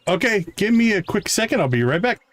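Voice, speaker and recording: A man's voice: high-pitched, sing-song, fairly quick, no echo. A man casually commentating on a game he is playing live while chatting with his viewers.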